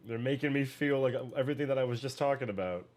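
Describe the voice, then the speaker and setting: A man's voice: mid-range voice, fast. Profane forty-year-old man reacting. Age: 40s. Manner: energetic, profane, laughs often. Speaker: reaction video host. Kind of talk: casual reaction commentary.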